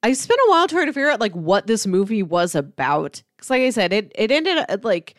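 A woman's voice: medium pitch, sing-song, fairly quick, no echo, studio quality. A woman co-hosting a podcast, casually analysing a film in an analytical way, with emphatic asides and paraphrases. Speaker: podcast co-host discussing a film.

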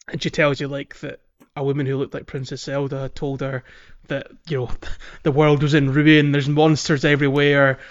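A man's voice: mid-range voice, dull audio. A man co-hosting a gaming podcast in casual chat, recapping things in a chatty, descriptive way. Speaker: podcast host.

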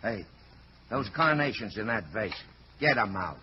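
An actor playing a gruff, skeptical police lieutenant who barks curt, slangy orders in an old-time radio drama. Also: male voice, fairly low pitch, lively intonation, unhurried. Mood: tense, commanding. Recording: dull noisy vintage radio recording.

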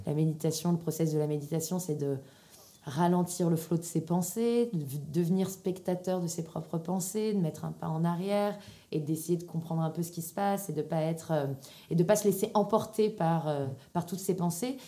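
Low, mellow Parisian woman, casual and hesitant. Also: normal speed. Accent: Parisian French. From Paris region, France. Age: 30s. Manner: informal, hesitant, thinking aloud. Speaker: podcast guest, entrepreneur. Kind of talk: casual interview answers.

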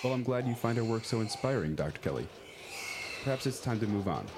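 A man playing a calm, imperious senior scientist in an audio drama, speaking formally and dismissively. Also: medium pitch, quick pace, background noise, close to an everyday voice. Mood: formal, composed. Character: calm, imperious senior male scientist running secret labs. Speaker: doctor, lab director.

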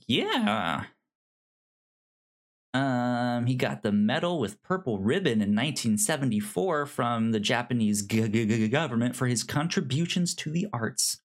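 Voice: mid-range voice, lively intonation, measured pace. A podcast co-host casually discussing film, often stammering and repeating words and sounds.

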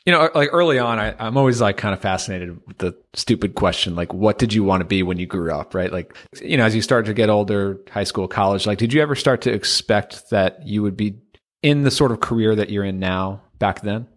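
Fairly low-voiced guy hosting, rambling questions full of "like". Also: a little fast. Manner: loose, friendly questioning with many fillers. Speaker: podcast host. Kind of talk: casual interview talk.

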